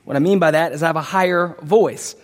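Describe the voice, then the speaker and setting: Man pastor, high voice, sick and a bit husky, earnest preaching, long hall reverb. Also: fairly quick. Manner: earnest, stumbling restarts, rising emphasis. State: sick, voice deeper than usual. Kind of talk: verse-by-verse sermon.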